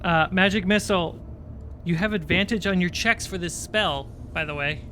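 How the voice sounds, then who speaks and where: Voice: mid-range voice, normal speed, pushed and bright, a little hiss or hum. A game master narrating and refereeing a livestreamed tabletop game in an informal, suspenseful storytelling way. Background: music.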